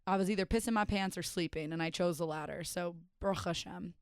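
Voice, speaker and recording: Hoarse young woman chatting on her podcast. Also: on the low side, fast, no echo, clean studio sound. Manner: rambling, self-mocking, chatty with many "like"s. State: hoarse, raspy voice after days of partying. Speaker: podcast host and stand-up comedian. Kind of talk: casual solo podcast chat.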